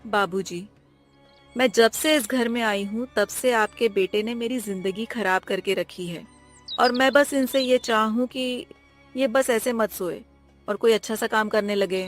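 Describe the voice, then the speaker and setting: A woman's voice: fairly high pitch, a little slow, faint music behind. A woman voicing a fed-up, hardworking young village wife who complains in an aggrieved way in a cartoon story. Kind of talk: Hindi cartoon moral story dialogue.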